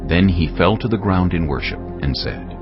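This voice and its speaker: A man narrating scripture in a grave, measured way. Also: low-pitched, lively intonation. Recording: dull lo-fi recording. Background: cinematic music.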